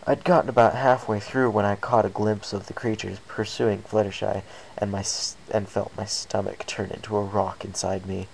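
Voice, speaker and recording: Low, gravelly male story reader. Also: level tone, moderate pace, darkish tone, poor audio. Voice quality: low, creaky. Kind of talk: scripted first-person story narration. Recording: faint background hiss.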